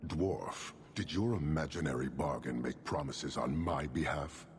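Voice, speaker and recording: A man playing a stern, contemptuous giant horned warrior commander in a video game, speaking in measured, formal pronouncements with a gravelly voice. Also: rumbling low, slight background noise. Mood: cold disdain. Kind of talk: video game cutscene acting.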